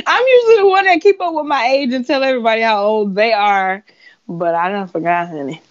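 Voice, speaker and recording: Woman, twenties, higher voice, casual animated storyteller, muffled low-fidelity sound, interview guest. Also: moderate pace. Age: 20s. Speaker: gospel singer being interviewed. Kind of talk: casual chat.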